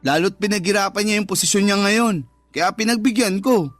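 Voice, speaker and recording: An actor playing a lazy, irritable and defiant younger brother in a radio drama. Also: male voice, high voice, brisk, fairly bright tone, faint music behind. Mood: defensive. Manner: whiny, irritated. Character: lazy, sulky, defiant younger brother, married mobile gamer. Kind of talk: Filipino radio drama dialogue.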